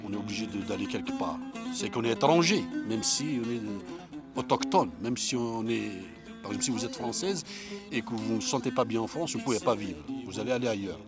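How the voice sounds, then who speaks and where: A man's voice: on the low side, animated, fairly quick. Guy explaining a song, kinda earnest, repeats himself a lot, guitar music under it. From Algeria. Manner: earnest, repeats himself and restarts, rising emphatic stresses. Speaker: interviewee. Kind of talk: reflective explanation.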